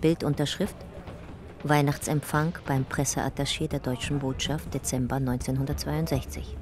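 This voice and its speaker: Detached narrator over music. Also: female voice, extremely low pitch, level tone, normal speed. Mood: matter-of-fact. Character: detached narrator reading out an archive photo description. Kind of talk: slow scripted documentary-like narration. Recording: music behind the voice.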